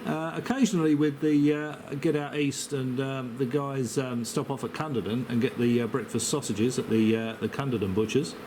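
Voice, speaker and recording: A man chatting casually as an amateur radio operator, rambling with hesitant pauses, picked up by a handheld camera near his radio. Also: medium pitch, fairly even intonation, background noise. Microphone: camera microphone held near the operator.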